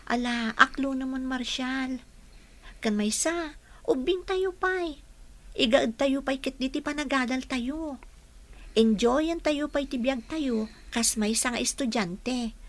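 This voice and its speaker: A woman's voice: high voice, slow pace. A woman playing a sensible young female student in a radio drama, giving earnest, cautioning advice. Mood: consoling. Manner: advising, earnest.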